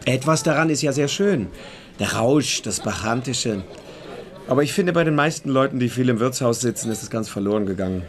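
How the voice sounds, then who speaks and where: Man as wise young friend, mid-pitched. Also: expressive, fairly quick, natural voice. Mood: questioning, superior. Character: calm, wise, superior young friend, philosophical. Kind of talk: German literary radio play dialogue.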